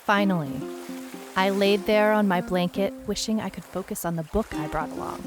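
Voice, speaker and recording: Female detective narrator, played by an actress: slightly low voice, skeptical, musing, sardonic, audio mystery serial with underscore. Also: natural voice. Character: wry, skeptical private detective woman on vacation. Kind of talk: first-person scripted detective story narration.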